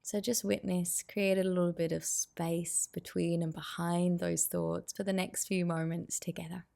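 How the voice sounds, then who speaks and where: A woman guiding a meditation as a teacher, giving soothing, unhurried instructions with pauses. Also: lowish voice, level tone, gravelly, mellow. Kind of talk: guided meditation.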